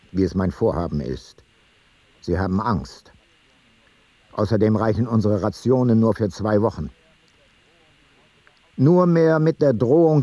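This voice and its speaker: A grave chronicler of ancient voyages in a radio play. The voice is dark and husky, set close and dry, and the delivery stays measured and matter-of-fact. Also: male voice, fairly low pitch, dry recording. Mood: sober, resolute. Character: grave male chronicler reading ancient travel logbooks. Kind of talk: literary radio play narration.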